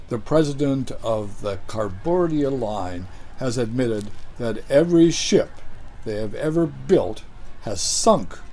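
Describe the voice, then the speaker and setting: An older man delivering a deadpan mock news report as a comedy anchor, in a dry, unhurried newsreader manner. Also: medium pitch. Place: studio set with green-screen backdrop.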